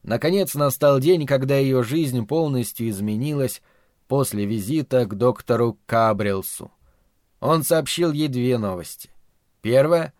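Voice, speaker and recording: Calm male audiobook narrator, dry studio. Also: medium pitch, dry recording. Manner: measured, calm storytelling. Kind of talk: scripted literary narration.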